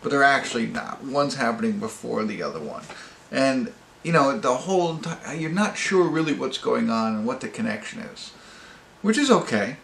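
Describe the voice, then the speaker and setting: Gravelly man rambling through a movie review. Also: medium pitch, expressive, a bit bright. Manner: halting, rambling, self-correcting. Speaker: movie review channel host. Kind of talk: casual unscripted movie review to camera. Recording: echoey room with faint noise.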